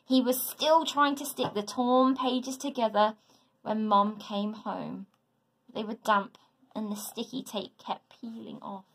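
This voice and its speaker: Slow, high-voiced woman reading a storybook. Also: fairly even intonation, creaky, slightly rough, poor audio. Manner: dramatic, deliberate. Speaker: teacher reading to a class. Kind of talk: expressive children's storybook narration.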